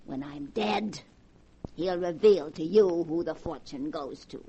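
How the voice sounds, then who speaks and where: A woman's voice: fairly low pitch, unhurried, warm tone, lo-fi sound, slight background noise, lightly acted. An actress playing a stern, sardonic, haughty old grandmother in 1940s radio drama style. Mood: sly, teasing.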